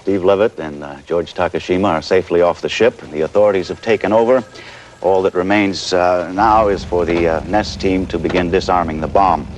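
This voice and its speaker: A man playing a composed television news anchor covering a crisis live, measured but pausing haltingly. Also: low-pitched, steady tone, natural voice. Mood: grave, measured. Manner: measured, hesitant live delivery with halting pauses. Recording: noisy 1980s television broadcast audio.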